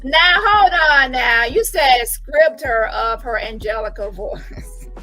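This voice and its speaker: A woman's voice: high-pitched, takes it slowly, pushed and bright, low-quality recording. A woman host giving casual reaction commentary, blunt and sassy, repeating phrases for emphasis. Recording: music playing behind the voice.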